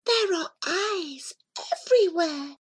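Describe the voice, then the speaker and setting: A woman voicing a small female animal companion in a storybook, marvelling in hushed, breathy wonder. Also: very high voice, sing-song, a little slow, voice pushed up, full character voice. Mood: hushed awe.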